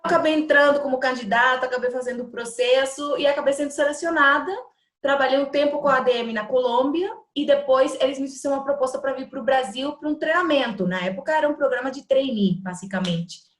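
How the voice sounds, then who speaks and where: A woman, answering interview questions in a measured way, often pausing to hesitate. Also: high voice, reverberant. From Colombia. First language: Spanish. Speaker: guest, trading company executive.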